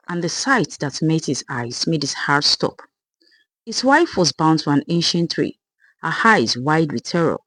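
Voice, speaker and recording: A storyteller giving a dramatic, expressive reading of a scripted folktale. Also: mid-range voice, no echo, poor audio.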